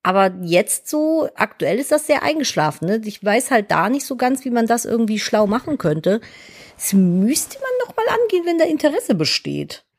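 Chatty woman, deep voice with a lisp. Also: a little mellow. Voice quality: self-described deep voice with a lisp. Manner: chatty, candid, frequent fillers like "ne?". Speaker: podcast co-host. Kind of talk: casual podcast chat.

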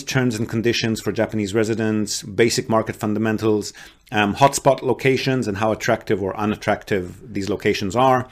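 A man hosting and advising on property investment, talking through loans in an explanatory way with frequent ums and restarts. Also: on the low side. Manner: explanatory, fills pauses with um and uh, restarts phrases. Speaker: real estate advisor and podcast host. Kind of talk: business call recording, casual advisory.

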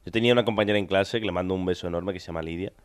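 Fast-talking young man, rambling banter. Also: low voice, clear, resonant tone. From Argentina. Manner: rambling, jokey, quick asides with laughs. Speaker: podcast co-host. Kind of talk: casual banter.

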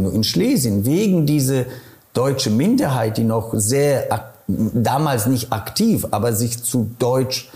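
A middle-aged man from Silesia with a Polish accent, answering interview questions thoughtfully and pausing often to find his words. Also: mid-range voice, a little mellow. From Poland, Silesia. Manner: thoughtful, searching for words, many hesitations.

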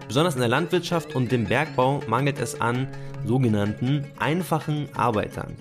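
A man co-hosting a podcast and working as a journalist, talking in a relaxed, conversational way and then narrating from a script. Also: mid-range voice, normal speed, music in the background.

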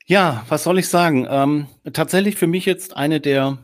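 A man's voice: fairly high pitch, normal speed. A man hosting a podcast in casual chat, talking loosely with many filler sounds. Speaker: podcast host.

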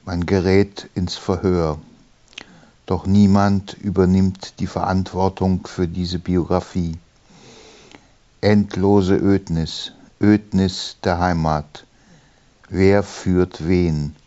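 A man reading his own poem in measured short phrases with pauses between them. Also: low-pitched, level tone, dark tone. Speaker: poet reading own work. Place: small room, writing study. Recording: dull, muffled sound.

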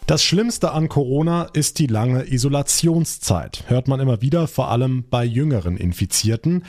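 A man's voice: medium pitch, animated, moderate pace, a little mellow, faint music behind. A man presenting a radio news magazine and leading into conversations with colleagues.

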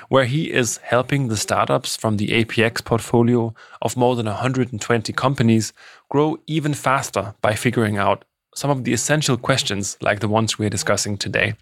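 A man hosting a podcast, reading measured introductions and posing questions. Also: medium pitch, fairly bright tone, no echo. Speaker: podcast host.

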